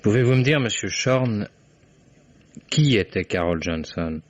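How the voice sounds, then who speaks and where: An actor playing a courteous, persistent lawyer who questions deliberately, in the style of 1950s French radio drama. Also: male voice, lowish voice, lively intonation, no room sound. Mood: formal, grave. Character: courteous, persistent male New York lawyer investigating a case. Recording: dull, band-limited vintage radio recording.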